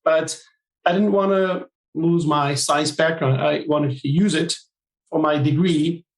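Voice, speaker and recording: A man from Turkey, a guest on an interview podcast, explaining his work in measured, listing phrases. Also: a little high, fairly even intonation, reverberant, rough recording. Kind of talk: interview answers.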